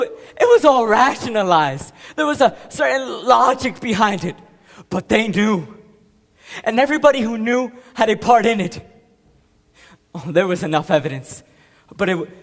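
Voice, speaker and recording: Man acting a haunted war veteran in an echoing hall. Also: on the high side. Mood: anguished, defensive. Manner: halting, intense, swinging from hushed to explosive. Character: guilt-ridden male war veteran confessing, haunted and anguished. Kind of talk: theatrical stage monologue. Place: large echoing room, performing to an audience. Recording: reverberant hall recording.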